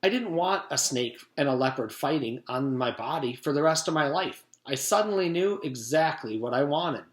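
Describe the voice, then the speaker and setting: A man acts out an earnest schoolboy from a storybook who cannot make up his mind. The voice sits high and jumps around with griping worry, in a roomy, echoing space. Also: animated, moderate pace, hardly any pauses, natural voice. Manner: griping, muttering, repeating himself. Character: indecisive, earnest, fretful schoolboy. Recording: roomy echo.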